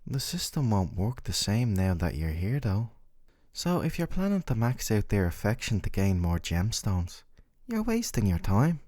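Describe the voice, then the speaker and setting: A man playing a gentle but obsessive, possessive dating-sim love interest in an intimate, tender, coaxing ASMR roleplay. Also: on the low side, wildly swooping pitch, normal speed, dry recording, clean studio sound. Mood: mildly jealous.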